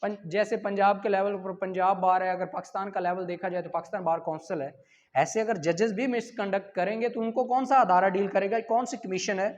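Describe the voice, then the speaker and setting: A woman's voice: medium pitch, steady tone, a little fast, darkish tone. A woman with a Pakistani accent teaching like an exam tutor, reading out questions and explaining the answers in a didactic way. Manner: didactic, poses questions then answers them, repeats key points. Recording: muffled lo-fi sound, long hall-like reverb.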